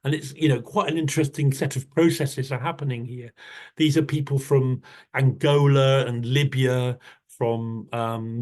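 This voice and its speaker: A man leads an online storytelling workshop, talking in a warm, thoughtful way and often restarting his sentences over a video call. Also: medium pitch.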